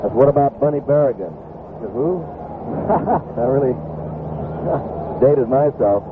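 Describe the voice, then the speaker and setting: A man's voice: medium pitch, animated, slow pace. An American man calling baseball play-by-play on the radio, giving counts and statistics in clipped phrases. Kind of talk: live radio sports commentary. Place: outdoor ballpark broadcast position. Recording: tinny, very noisy old radio broadcast.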